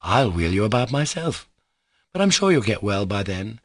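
A man reading an audiobook, voicing a gentle, guileless young man in a mild, sincere, plain-spoken way. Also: lowish voice, sing-song, normal speed, no echo, studio quality. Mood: earnest.

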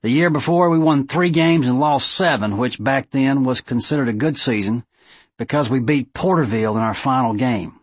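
A man voicing an aging, earnest small-town judge with a squeaky voice and a thick Southern drawl, giving an audiobook eulogy. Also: normal speed, slightly rough, dry recording, natural voice. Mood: plainspoken, reminiscing. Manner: drawling, oratorical.

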